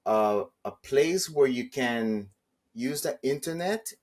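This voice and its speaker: A man's voice: medium pitch, expressive, takes it slowly, a little mellow. A man from Saipan chatting casually as an interview guest, hesitant and modest, slightly nervous speaking English. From Saipan, Northern Mariana Islands. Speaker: podcast guest, travel vlogger.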